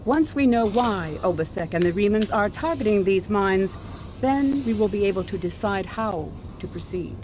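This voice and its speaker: A woman's voice: mid-range voice, lively intonation, natural voice. Actress as a composed female admiral, faint background hum. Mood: composed, official. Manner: formal, measured, authoritative. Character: composed female fleet admiral giving mission orders. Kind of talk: scripted game mission briefing.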